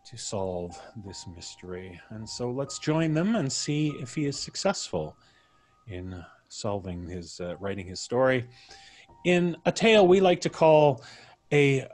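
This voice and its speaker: A man's voice: mid-range voice, expressive, measured pace, a little mellow. A man hosting a live show, chatting casually and introducing a story with a theatrical storyteller's delivery. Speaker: podcast host.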